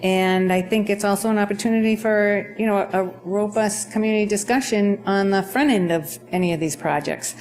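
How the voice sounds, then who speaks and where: A soft-spoken woman city councilor reading a motion and speaking hesitantly in a council meeting. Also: medium pitch, moderate pace, hardly any pauses. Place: council chamber.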